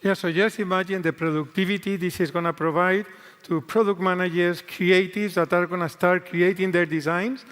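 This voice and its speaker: Spanish-accented guy on a keynote stage, pretty high voice, earnest, big hall echo. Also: steady tone, moderate pace, fairly bright tone. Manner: earnest, with restarts in second-language English. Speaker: guest executive on stage. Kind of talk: keynote guest segment. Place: large convention hall stage. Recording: echoey large hall.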